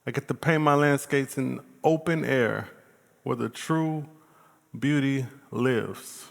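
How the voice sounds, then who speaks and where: Male actor as earnest nature-loving painter, stage monologue, unhurried delivery, long hall reverb. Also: medium pitch, creaky. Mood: earnest, reverent. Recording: echoey stage hall from afar.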